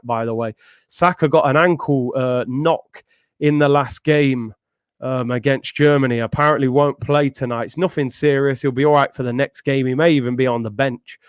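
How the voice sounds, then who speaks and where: A man's voice: on the high side, dry recording, studio quality. A young man hosting a casual football chat, talking in a slangy, conversational way. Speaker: football channel host.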